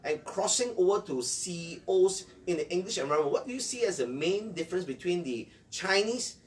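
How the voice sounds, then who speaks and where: A man's voice: high voice, animated, fairly quick. A man hosting a conversational interview and putting questions to a guest. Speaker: interviewer, show host. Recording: distant mic with faint background noise.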